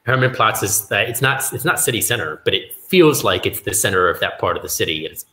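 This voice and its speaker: A young man from New York talks on a video call, hesitating and doubling back on his words. His voice sits a little low but sounds bright and forceful. The laptop mic catches plenty of echo from his room at home. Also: lively intonation, fairly quick. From New York, USA. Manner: hesitant, repeats words while thinking. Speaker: meetup participant. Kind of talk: casual video-call chat. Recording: roomy echo.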